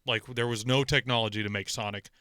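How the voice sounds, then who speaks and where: A man with a bright, punchy voice and a clean, resonant tone, captured close and dry. He talks like an opinionated podcast co-host, rambling and animated, swearing casually as he goes. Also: lowish voice, quick pace, clean tone, no room sound. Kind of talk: casual opinionated film and game chat.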